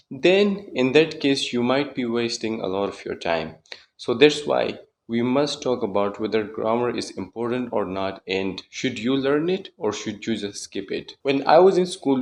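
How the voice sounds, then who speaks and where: A man's voice: mid-range voice, slight background noise. A young man who is not a native English speaker, explaining a language lesson earnestly to camera and repeating his key points. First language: non-native English speaker. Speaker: English teacher.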